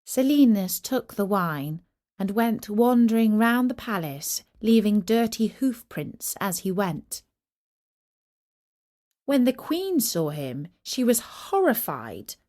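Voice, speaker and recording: Unhurried, expressive woman storyteller. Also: medium pitch. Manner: expressive, measured, deliberate phrasing. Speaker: storyteller, narrator. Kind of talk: scripted children's storytelling narration of a Greek myth.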